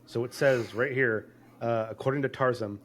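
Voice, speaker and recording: A man with a mid-range voice co-hosting a movie podcast. He gets excited, laughs easily and stumbles over his words as he tells stories. Faint noise and small clicks sit behind him. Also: mid-range voice, normal speed. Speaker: podcast co-host. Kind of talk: casual chat.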